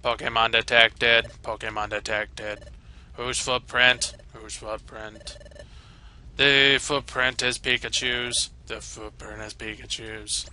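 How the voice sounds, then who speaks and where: A man voicing a stern guard in a video game, commanding in a slow, drawn-out delivery. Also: mid-range voice, level tone, bright, forceful tone, voice pushed down. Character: stern unseen guard barking footprint checks and orders.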